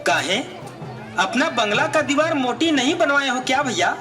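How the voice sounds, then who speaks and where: Man voicing cheeky, very high-pitched young villager. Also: lively intonation, moderate pace, bright, forceful tone, faint music behind, some chatter behind, heavily acted. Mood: teasing. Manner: teasing, sarcastic. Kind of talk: village puppet-show drama.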